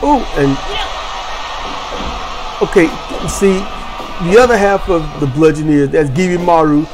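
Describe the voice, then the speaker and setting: A man hosting and commentating on simulated wrestling matches, energetic and rambling with frequent restarts. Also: a little high, a little slow, over music, rough recording. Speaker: host and commentator. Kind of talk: video game wrestling commentary.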